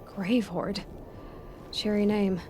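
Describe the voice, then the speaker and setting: An actress playing a defiant, searching young hunter in a video game, earnest but impatient and dryly sarcastic. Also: female voice, medium pitch, fairly even intonation, takes it slowly, darkish tone, a little hiss or hum, natural voice. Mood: sardonic, irritated. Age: young adult. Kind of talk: video game dramatic acting.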